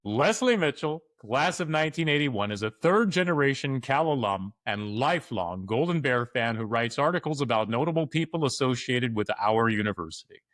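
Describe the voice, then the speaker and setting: A man hosting a sports podcast, reading announcements and introducing a guest in an upbeat, smooth way. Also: medium pitch, animated, moderate pace, hardly any pauses, a bit bright, no room sound. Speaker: podcast host. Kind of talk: scripted podcast announcements and interview.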